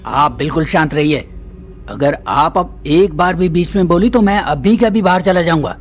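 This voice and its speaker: A man acting a stern, authoritative middle-aged father with an Indian accent in an audio drama, measured and formally polite. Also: on the high side, close to an everyday voice.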